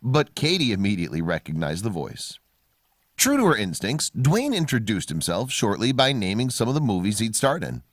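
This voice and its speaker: A man reading scripted narration of a human-interest story in a measured storytelling manner. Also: on the low side, huge pitch swings, dry recording.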